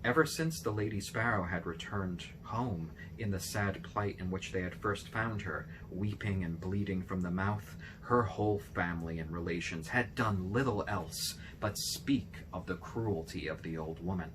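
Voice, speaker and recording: A man reading a fairy tale aloud as an expressive narrator. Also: sits low, moderate pace, few pauses, noisy background. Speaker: storybook reader.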